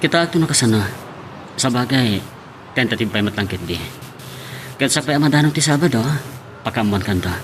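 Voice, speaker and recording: A man's voice: medium pitch, animated, brisk, pauses often, natural voice. Man acting evasive young Filipino boyfriend, mid-range voice, hesitant, radio drama, background music.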